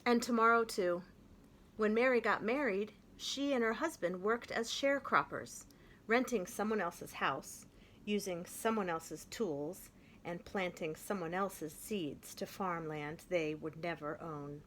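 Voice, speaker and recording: Woman reading a kids' book out loud, gentle and expressive, faint hum behind. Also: on the low side, a little slow. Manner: gentle, expressive, clear storytelling. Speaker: children's story reader. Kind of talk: reading a picture book aloud.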